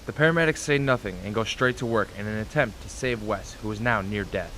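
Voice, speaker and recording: A man's voice: lowish voice, fairly quick, fairly bright tone, a little hiss or hum, rough recording. A man reading scripted dramatic narration as the narrator of a radio play. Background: music.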